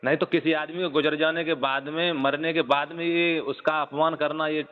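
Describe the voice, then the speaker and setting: A man's voice: on the high side, little pitch movement, moderate pace. A man arguing on a phone call, pressing reproaches persistently while keeping respectful forms of address. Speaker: caller. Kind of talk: phone argument. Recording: muffled phone call recording with reverb.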